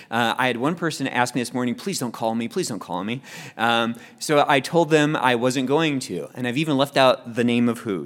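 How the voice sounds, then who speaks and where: A man's voice: mid-range voice, fairly bright tone. Man leading a Bible study class. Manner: friendly, repeats words and restarts often. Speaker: church class leader.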